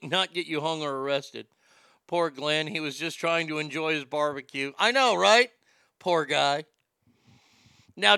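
A man hosting a casual talk show, ranting in an animated, profane way. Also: sits high, gravelly, smooth, no room sound, clean studio sound. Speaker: host.

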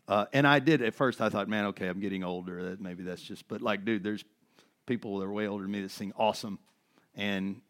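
A middle-aged man gives a sermon in a low, slightly worn voice. He talks to the congregation in a loose, earnest way, full of restarts and fillers. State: voice worn from overuse. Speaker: pastor.

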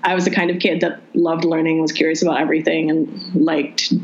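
A young woman from the Philippines, telling her own story in a relaxed, chatty way with many "like"s. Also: a little fast, vocal fry. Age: young adult. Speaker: interviewee. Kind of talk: casual chat. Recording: muffled low-fidelity audio.

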